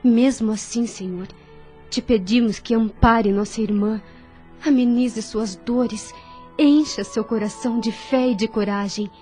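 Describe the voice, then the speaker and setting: A kind young nurse in a Brazilian audio drama, played by a woman. Her voice is warm and mellow, sitting a little high. She speaks with gentle, devout earnestness while music plays faintly behind her. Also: steady tone, measured pace, lightly acted. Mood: prayerful, earnest.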